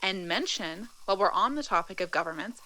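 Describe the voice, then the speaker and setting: A woman's voice: mid-range voice, expressive, fairly quick, bright, forceful tone, low-quality recording. An actress playing an indignant, alarmed female political commentator in an audio drama, emphatic in her delivery. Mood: indignant, urgent.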